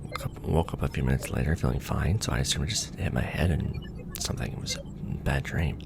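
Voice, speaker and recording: A man acting a wry, casual host of an alien symbiote in an ASMR roleplay, with a breathy, creaky voice. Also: rumbling low, a little fast, heavy background noise. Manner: casual, wry, hesitant in explanations. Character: weary, joking young man bonded to an alien symbiote.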